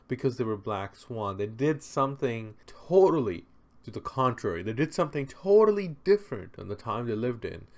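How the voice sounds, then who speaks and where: A man presenting an unscripted, conversational explainer, speaking emphatically with rhetorical questions and repeated phrases for stress. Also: mid-range voice. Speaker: presenter explaining history and philosophy.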